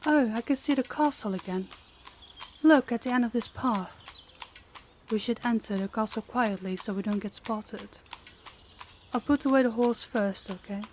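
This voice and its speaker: A woman's voice: fairly high pitch, normal speed, long pauses, darkish tone, slight background noise, lightly acted. A woman playing a young princess, a king's daughter, in an intimate audio roleplay. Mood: hushed, cautious. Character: young rival princess, daughter of a stern king.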